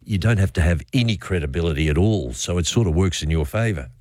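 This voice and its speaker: A man's voice: sits low, animated, fast talker, darkish tone, no room sound. A man hosting a radio show, dry and teasing with his caller. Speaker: radio host. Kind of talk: casual on-air chat.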